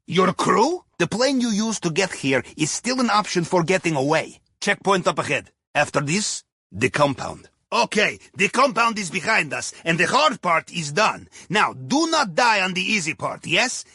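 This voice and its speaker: Bright, lively Russian-accented game captain. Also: male voice, high voice, normal speed, husky, no echo, clean studio sound, heavily acted. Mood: wry, briefing. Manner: wry, playful, theatrical, with clipped non-native English. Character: wry, upbeat Russian submarine captain guiding a heist crew. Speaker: mission guide over comms. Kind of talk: video game voice acting.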